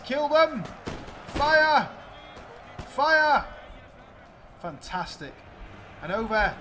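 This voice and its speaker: A man giving excited, exclamatory play-by-play commentary on a video game battle, addressing his viewers. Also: sits high, a little hiss or hum.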